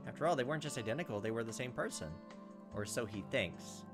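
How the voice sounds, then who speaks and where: A man hosts a visual novel playthrough, reading the story aloud at a brisk pace. His pitch moves around freely, and he drops in casual, wry comments. Music plays behind his voice. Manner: animated, wry joking asides. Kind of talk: let's play narration with casual commentary.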